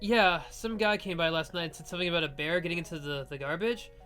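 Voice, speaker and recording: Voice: medium pitch, fast, sharp tone, faint music behind, lightly acted. A game player voicing an awkward, easily flustered teenage boy in a hesitant, stammering way. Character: Nick, awkward easily flustered teenage boy, recent high school grad. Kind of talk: visual novel voice-over, dramatized reading.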